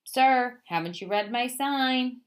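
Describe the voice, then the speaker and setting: A woman's voice: a little high, slow pace, pitched above the performer's own voice. A woman voicing a careful, firm male mouse dentist who speaks precisely. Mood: professional.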